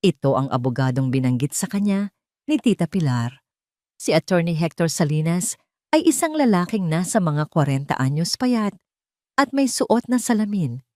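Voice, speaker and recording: A woman's voice: fairly low pitch, big pitch swings, moderate pace, dark tone, dry recording, clean studio sound. A woman reading a scripted dramatic story in an emotive storytelling manner. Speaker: story narrator.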